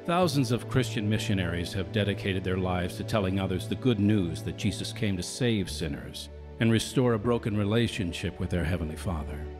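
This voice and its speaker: A man announces as host of a radio drama in a polished, earnest scripted read. His voice sits fairly low, with music clearly playing behind him. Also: a little fast.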